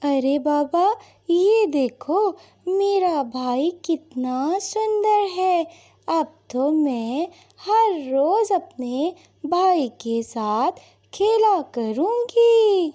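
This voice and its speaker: A woman plays a little cartoon bird girl with an extremely high, swooping voice. She speaks very slowly and earnestly, insistent and devoted, like a caring child in a moral tale. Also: expressive, warm tone, exaggerated voice. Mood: delighted. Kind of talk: Hindi moral-story cartoon dubbing.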